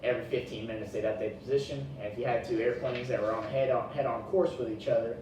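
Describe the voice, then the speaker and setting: A man's voice: mid-range voice, steady tone, fast. A young man giving an informal class presentation in a folksy, colloquial way. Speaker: student presenter. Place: echoey indoor room, presenting to a class. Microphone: distant room microphone. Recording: very echoey, distant mic, noisy room.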